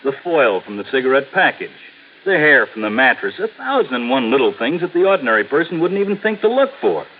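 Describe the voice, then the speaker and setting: A man's voice: on the high side, big pitch swings, fairly quick. An actor playing a brash, cocksure arson squad policeman, blunt and slangy, in an old radio drama. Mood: exasperated. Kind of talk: 1950s radio drama acting. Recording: noisy, narrow old radio recording.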